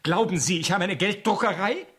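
A man playing a harried, high-voiced, indignant author. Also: brisk, crowd noise, close to an everyday voice. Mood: exasperated. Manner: exasperated, stammering, curt. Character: harried, indignant male author being blackmailed. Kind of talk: 1970s radio play acting. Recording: faint background noise on 1970s radio recording.

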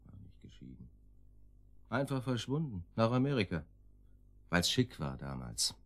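An actor playing a cynical, controlling middle-aged intruder in a German radio drama, husky and curt, with a condescending, laconic manner. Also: male voice, on the low side, sing-song, measured pace. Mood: laconic, evasive.

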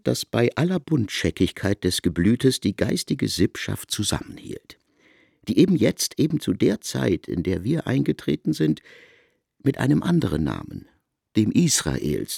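A man playing an erudite narrator in a literary radio drama, speaking eloquently with deliberate phrasing. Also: lowish voice, gravelly, warm tone, breathy edge, dry recording, clean studio sound, full character voice. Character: erudite male narrator of a biblical epic.

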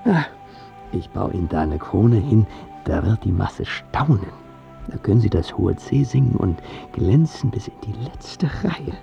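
A man's voice: lowish voice, lively intonation, gravelly, dark tone, highs cut off, faint music behind, exaggerated voice. An actor in a radio drama playing a clumsy, starstruck dentist who gushes and draws out his exclamations. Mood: awestruck. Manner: gushing, drawn-out exclamations.

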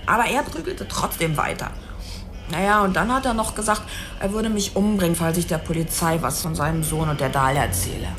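An actress playing a worldly but intimidated witness, confiding hesitantly and colloquially in a radio drama. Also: female voice, on the low side, a little fast, close to an everyday voice. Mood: shaken, recounting. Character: worldly, intimidated female witness telling of abuse. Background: music.

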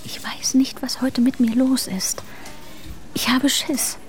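An actress playing an uneasy, frightened young woman who confides in a breathy whisper in a radio play. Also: sits high, level tone, slow, soft background music. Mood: anxious, hushed.

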